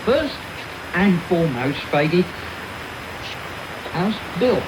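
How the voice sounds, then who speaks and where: An actor playing a swaggering, cocky housebreaker in a period radio drama, with a brash, theatrical delivery. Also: male voice, high-pitched, big pitch swings, slow pace, exaggerated voice. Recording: noisy medium-wave radio tape.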